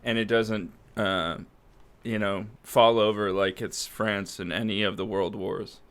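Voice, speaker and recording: A man's voice: on the low side, steady tone, measured pace, fairly bright tone, clean tone. A man co-hosting a casual podcast, speaking bluntly and sardonically into a close desk microphone. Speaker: podcast co-host. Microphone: close USB desk microphone on an arm.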